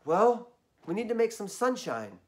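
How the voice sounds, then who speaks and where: A man voicing an eager young girl who pleads and leads her friends in play, a little hoarse. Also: high-pitched, unhurried, voice pushed up. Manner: excited, pleading.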